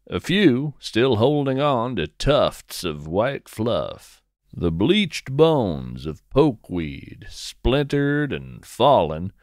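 Slow, expressive man narrating weird nature tales. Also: mid-range voice, no echo, studio quality. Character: musing field naturalist narrator of weird wildlife. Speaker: podcast host. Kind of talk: scripted fiction narration.

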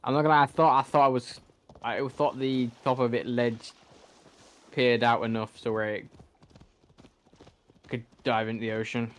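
A man's voice: mid-range voice, little pitch movement, moderate pace, pauses often. A young man who speaks English as a second language, giving casual let's-play commentary, rambling, correcting himself and swearing at the game. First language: not English. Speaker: gameplay streamer.

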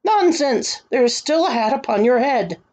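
A woman's voice: high-pitched, lively intonation, normal speed, a little mellow, pitched above the performer's own voice. A woman voicing a pompous, angry, commanding storybook king. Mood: furious. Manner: imperious, demanding. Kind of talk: storybook character acting.

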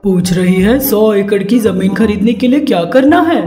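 A woman's voice: mid-range voice, expressive, normal speed, dark tone, lightly acted. A woman voicing an irritable, mocking man in a cartoon, sarcastic and scolding. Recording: roomy sound with music behind.

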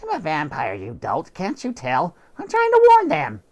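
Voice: mid-range voice, huge pitch swings, normal speed, voice pushed up. A teacher reading aloud and voicing a melodramatic male house cat who thinks he is a vampire, in a theatrical, exasperated way. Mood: indignant.

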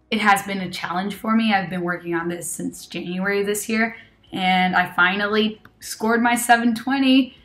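A woman's voice: mid-range voice, a bit bright. Woman, 20s, grew up in Argentina, Spanish first language, American-leaning accent; chatty webcam testimonial, lots of fillers. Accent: American-leaning English. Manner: chatty, many fillers and self-corrections, laughs often. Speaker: test-prep student giving a testimonial. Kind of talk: casual testimonial. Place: small indoor room with red wall.

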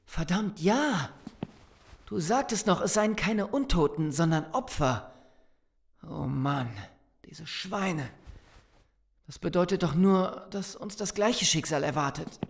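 A man's voice: high-pitched, slow, creaky, pauses often, heavily acted. An actor playing an agitated, loyal and defiant male survivor in an audio drama, speaking urgently. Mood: outraged.

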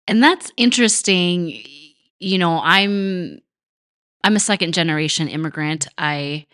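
A woman's voice: on the low side, unhurried, fairly bright tone, clear, resonant tone, no echo, clean studio sound. A woman from the United States co-hosting a podcast, talking in a chatty, reflective way with plenty of fillers. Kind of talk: casual conversational chat.

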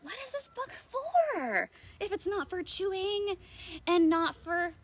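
A woman's voice: very high voice, very animated, slow. A woman in her thirties hosting a children's read-aloud outdoors, theatrical and playful, full of questions. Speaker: children's librarian, storytime host. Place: outdoors in a leafy backyard.

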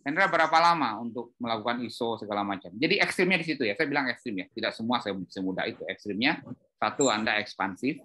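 A middle-aged man giving an informal, animated explanatory talk on a video call, telling stories and acting out dialogue. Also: medium pitch, fast talker. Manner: animated storytelling, acts out dialogue. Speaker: speaker at an export training webinar. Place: indoor room on a group video call.

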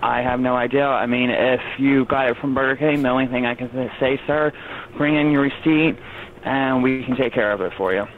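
A polite, matter-of-fact man doing customer service at a fast-food place over the phone. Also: medium pitch. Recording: tinny phone line, faint noise.

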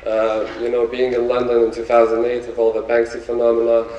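A man with a Lithuanian accent talks loosely from a theater stage, with plenty of ums between thoughts. His voice sits at an ordinary pitch and comes from some distance off the mic. The sound rings around a large, echoing hall. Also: fairly quick, dull audio, slight background noise. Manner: relaxed, searching for words with many fillers. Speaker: guest artist. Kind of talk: stage talk with slides. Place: theater stage before an audience. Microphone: handheld mic, recorded from afar. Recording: echoey theater from far off.

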